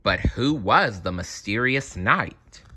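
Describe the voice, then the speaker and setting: Guy reading a kids storybook, lowish voice but bright, slow and dramatic. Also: breathy edge. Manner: expressive, dramatic. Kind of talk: children's storybook read-aloud.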